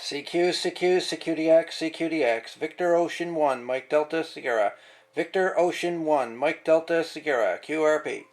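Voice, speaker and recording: A man operating an amateur radio, calling out and repeating call signs in the phonetic alphabet in measured phrases. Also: on the high side. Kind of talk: ham radio contact calls in phonetic alphabet. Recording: very noisy, heavy radio static. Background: radio static and hiss.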